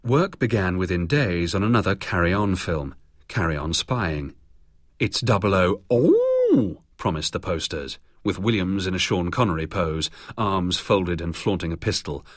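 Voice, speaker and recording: A man's voice: deep voice, moderate pace, no echo, clean studio sound. A British man narrating a scripted biography for radio.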